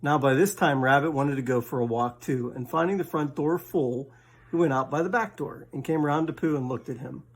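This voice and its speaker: A man's voice: on the high side, fairly quick, a little hiss or hum. A man reading a children's bedtime story aloud as the storyteller.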